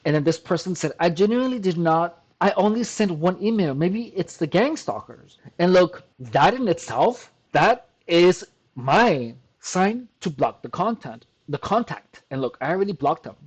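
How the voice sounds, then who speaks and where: A man with a fairly high-pitched voice talks straight to his audience in a casual monologue. He is emphatic and keeps circling back, often breaking in with "look" and starting his sentences over. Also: darkish tone, rough recording. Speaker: channel host. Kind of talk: casual talking-to-camera monologue.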